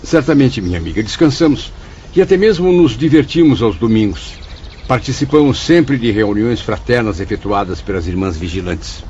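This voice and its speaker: An actor with a Brazilian accent playing an earnest, reflective spirit student in a formal radio drama style. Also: male voice, lowish voice, moderate pace, muffled recording, slight background noise, lightly acted. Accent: Brazilian Portuguese. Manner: formal, declamatory, expressive. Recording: band-limited audio with music behind.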